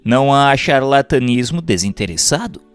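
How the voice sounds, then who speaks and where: A man with a Brazilian accent reads a scripted book chapter as narrator, in a formal, measured manner. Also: medium pitch, expressive, smooth, no echo. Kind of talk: scripted narration of a spiritual treatise. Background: background music.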